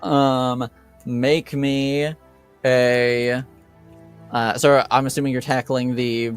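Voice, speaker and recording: A man improvising as game master of a tabletop game, with a halting, hesitant delivery. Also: mid-range voice, little pitch movement, clean tone, soft background music.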